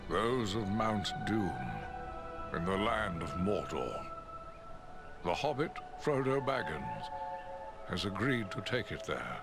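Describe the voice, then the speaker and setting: An actor playing a wise old wizard in a fantasy game, grave and commanding, with measured, theatrical authority. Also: male voice, fairly low pitch, lively intonation, music in the background. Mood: solemn, resolute. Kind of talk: fantasy game cutscene acting.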